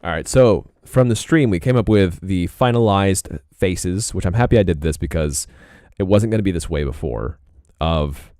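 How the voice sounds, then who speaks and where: A young man talks loosely to his stream while he works, drifting from one thought to the next and clearing his throat now and then. His voice sits low and comes through smooth and clean. The sound is dry and close, with no room around it. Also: normal speed, few pauses, dark tone, no echo. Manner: laid-back, rambling, frequent throat clearing. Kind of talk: casual live-stream chat while drawing.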